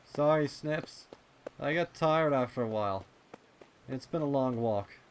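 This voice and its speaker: A man's voice: medium pitch, unhurried, a little hiss or hum, pitched above the performer's own voice, lightly acted. A man voicing a young pony colt, an easygoing, loyal optimist with a reassuring, plain-spoken manner, in a story reading. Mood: weary.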